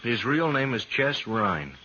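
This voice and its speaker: An actor playing a folksy prisoner in a 1950s radio western, telling things in a rustic, drawn-out way. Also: male voice, medium pitch, very animated, sharp tone, breathy edge, full character voice. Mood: confiding. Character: folksy Old West prisoner angling for trust. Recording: dull vintage radio recording, faint noise.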